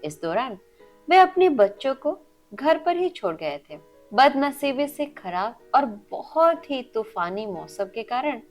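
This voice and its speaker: A woman storyteller reading a scripted inspirational moral story in an earnest, instructive way. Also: a little high. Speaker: storyteller and channel host. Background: faint background music.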